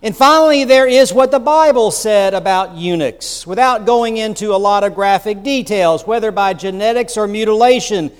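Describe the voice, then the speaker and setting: A man's voice: high voice, normal speed, few pauses, clean tone. A man preaching a sermon as bishop, telling the story in a conversational way with rhetorical asides. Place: church sanctuary. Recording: long church reverb.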